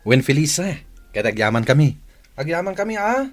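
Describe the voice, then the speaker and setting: An actor playing a polite, deferential son-in-law who speaks reassuringly in an Ilocano radio drama. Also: male voice, on the high side, very animated, brisk, faint music behind. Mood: reassuring, thankful.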